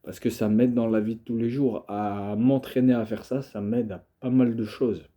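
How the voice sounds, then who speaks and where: A man's voice: mid-range voice, steady tone, normal speed, warm tone. A young man with a cold, talking casually to camera in a hesitant way, full of fillers and restarts. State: has a cold, coughing. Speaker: video creator.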